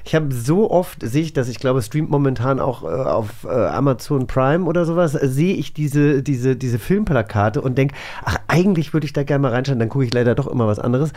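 A man's voice: medium pitch, expressive, a little fast, hardly any pauses, darkish tone. A man hosting a casual podcast, chatting in an enthusiastic way. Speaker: podcast host. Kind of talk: casual talk show chat.

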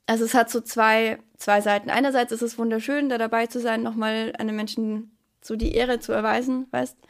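A woman with a rather high voice talks easily on a podcast, thoughtful at times and quick to laugh. Her speech carries the polish of a trained performer. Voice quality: trained actor's voice. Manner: relaxed, reflective, laughs easily. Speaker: podcast co-host and singer. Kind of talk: casual podcast chat.

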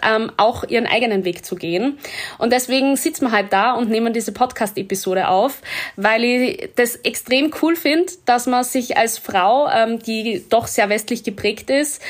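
A woman hosting a podcast and interviewing a guest over a video call, chatty and enthusiastic. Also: fairly high pitch. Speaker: podcast host.

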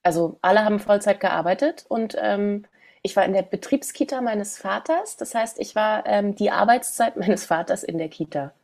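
A woman's voice: mid-range voice, normal speed, vocal fry, darkish tone. A woman in her forties from West Berlin, chatting casually as a podcast co-host and readily chiming in. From West Berlin, Germany. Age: 40s. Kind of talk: casual chat.

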